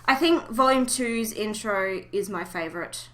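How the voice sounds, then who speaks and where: A woman's voice: medium pitch, a little slow, bright, forceful tone. A woman hosting a casual fan podcast, chatting informally and giving blunt opinions. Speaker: podcast host.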